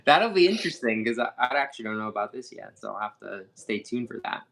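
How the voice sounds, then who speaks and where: A man's voice: lowish voice, big pitch swings, fast talker, gravelly, a bit bright, low-quality recording. A man reviewing sunglasses in a casual chat, talking in a hesitant way with lots of fillers and restarts.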